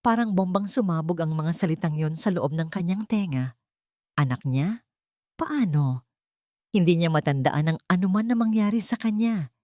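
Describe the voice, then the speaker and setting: Low-pitched woman narrating a dramatic story. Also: lively intonation, moderate pace, darkish tone, no echo, clean studio sound.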